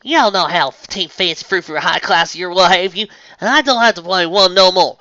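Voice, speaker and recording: A man voicing a blunt, hardworking farmer pony mare with a loud Southern drawl, reading a fan story aloud. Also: sits high, moderate pace, no room sound, muffled recording, pitched above the performer's own voice. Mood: defiant. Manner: loud twangy drawl, impassioned.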